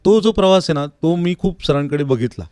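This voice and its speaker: A man's voice: on the high side, lively intonation, a little fast, dark tone, clean tone, dry recording. A man speaking as an interview guest and music teacher, explaining things earnestly in a reflective, conversational style. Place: recording studio. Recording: dry, close studio sound.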